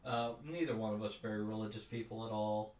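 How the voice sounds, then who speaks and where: A man's voice: on the low side, fairly even intonation, fast talker, darkish tone. A man co-hosting a podcast in casual chat, rambling and cynical. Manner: conversational, rambling, cynical asides. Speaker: podcast co-host. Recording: roomy sound, mic at a distance.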